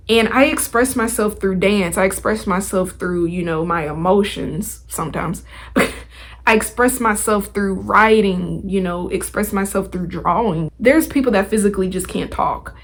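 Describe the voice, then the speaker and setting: A young adult woman hosting a podcast, talking in a casual, motivational way with chatty, informal, slangy phrasing. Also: medium pitch. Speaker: podcast host.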